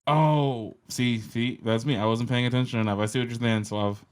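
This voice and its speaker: A man's voice: mid-range voice, steady tone, moderate pace, clear, resonant tone. A man chatting casually and profanely as a podcast panelist. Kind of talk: casual profane group chat.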